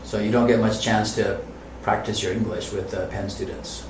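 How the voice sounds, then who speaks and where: A man interviewing a student, patient and soft-spoken with gentle murmured backchannels. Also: fairly low pitch, steady tone, moderate pace, noisy background. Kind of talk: casual interview. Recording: roomy, distant mic.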